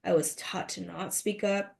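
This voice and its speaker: A woman's voice: medium pitch, fairly even intonation, unhurried, vocal fry. A woman in her thirties hosting a motivational podcast, speaking earnestly and asking rhetorical questions. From United States. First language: Mandarin. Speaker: podcast host.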